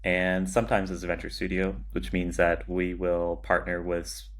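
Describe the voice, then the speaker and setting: A man speaking casually as an interview guest, in a measured, matter-of-fact way with some hesitation. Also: low-pitched, flat delivery. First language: English. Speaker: podcast guest, agency founder.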